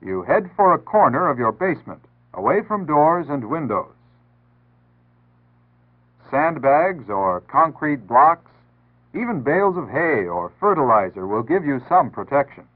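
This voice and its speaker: An American man reading scripted 1960s public service narration in a measured, earnest, instructive manner. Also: a little high, very animated. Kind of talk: 1960s civil defense PSA scripted narration. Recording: tinny band-limited 1960s broadcast audio.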